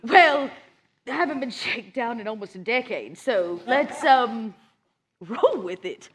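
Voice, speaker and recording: A woman's voice: fairly high pitch, animated, measured pace, background noise. A woman playing a poised, teasing hundred-year-old vampire negotiator in a live tabletop roleplaying game. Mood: wry, playful. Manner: teasing, self-assured, diplomatic. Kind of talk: improvised tabletop roleplay before a live audience.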